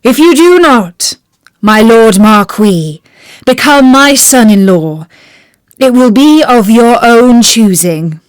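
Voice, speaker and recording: A woman's voice: on the high side, expressive, slow, dry recording. A woman reading a hearty, delighted fairy-tale king. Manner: exclaiming, stately. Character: grand old king, pleased and hearty.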